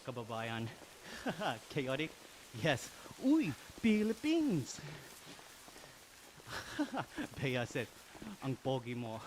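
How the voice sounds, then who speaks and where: An Australian man on a casual live stream, reading viewer comments aloud while riding an indoor bike, upbeat and quick to laugh. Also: medium pitch, huge pitch swings, slight background noise. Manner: upbeat, laughs often, friendly and chatty.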